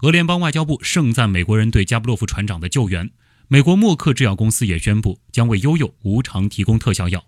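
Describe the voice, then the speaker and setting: An actor playing a brisk TV news commentator of about thirty, reading formal reports in a radio drama. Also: male voice, on the low side, very animated, no echo, studio quality.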